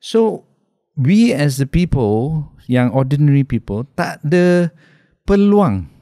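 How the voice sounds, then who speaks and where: A man's voice: a little high, sing-song, slow pace, dark tone, no room sound, studio quality. A man with a Malaysian accent, a guest podcaster talking thoughtfully and mixing English with Malay. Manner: thoughtful, mixes English and Malay, lah and kan tags. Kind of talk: podcast discussion. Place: podcast studio.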